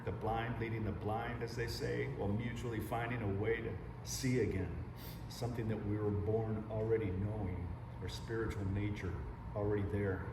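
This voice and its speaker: A man with a fairly low voice speaks slowly and reflectively, mixing a sermon with plain everyday talk. He sounds set back from the mic in a room with a long, echoing tail. Steady noise hums underneath. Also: far from the mic. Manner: slow, unhurried, conversational with casual swearing. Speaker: pastor.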